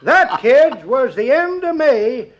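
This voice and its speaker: A man's voice: extremely high pitch, sing-song, slow, lo-fi sound, full character voice. An actor playing a folksy, jokey old man who tells tall tales in rustic speech, in 1950s radio comedy style. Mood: chuckling, storytelling. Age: older.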